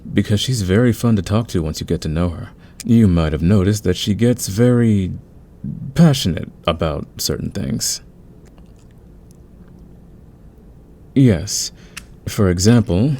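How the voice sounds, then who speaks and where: Man acting as deep, gravelly, easygoing veteran thief. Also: a little slow, darkish tone. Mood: gentle, explanatory. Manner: soothing, patient, chuckling, conversational. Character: calm, friendly veteran male thief smoothing over team tensions. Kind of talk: ASMR audio roleplay acting.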